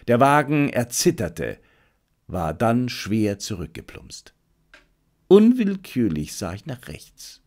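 A man's voice: medium pitch, a little mellow. A man narrating a detective adventure audiobook with dramatic, suspenseful delivery.

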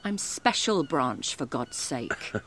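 An actress with a British accent playing a sharp-tongued, capable undercover policewoman in a radio drama, brisk and self-assured. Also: a bass voice, very animated, slight background noise, heavily acted. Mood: tart, proud. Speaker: actor.

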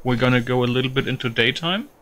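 Halting man with a bright voice. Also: mid-range voice. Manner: halting, repetitive, thinking aloud. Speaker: gaming video host. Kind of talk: casual gameplay commentary.

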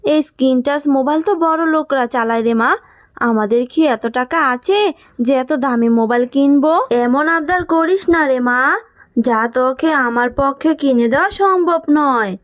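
Woman as a very high-pitched, fussing cartoon mother. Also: moderate pace, close to an everyday voice. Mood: weary, pleading. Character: careworn, scolding but caring poor animal mother. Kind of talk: Bengali children's cartoon voice acting.

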